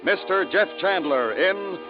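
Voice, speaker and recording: A man's voice: high-pitched, expressive, measured pace. A man announcing a 1950s radio program from a script. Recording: muffled vintage radio recording with music behind. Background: music.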